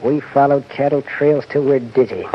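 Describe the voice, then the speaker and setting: A man's voice: medium pitch, steady tone, moderate pace, natural voice. An actor playing a firm, weary frontier marshal in an old radio western, a man with an American accent and clipped, authoritative speech. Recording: muffled, hissy vintage radio recording.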